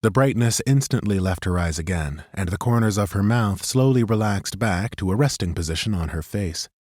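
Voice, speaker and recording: A man narrating a fantasy audiobook in a measured, even way. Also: lowish voice, no room sound. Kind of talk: scripted narration.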